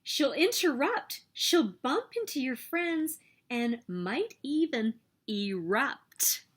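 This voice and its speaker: A woman reads a picture book aloud for young children. Her voice is fairly high and moves in big, animated swings. She takes her time and leans on key words in an expressive storytelling manner. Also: slow pace. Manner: expressive, emphatic storytelling with sing-song refrains. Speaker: children's story reader and host.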